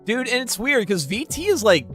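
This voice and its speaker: A man's voice: very high-pitched, big pitch swings, moderate pace, a bit bright, faint music behind. A man streaming and commenting casually, with a sniffly, stuffed nose, rambling and restarting his sentences often.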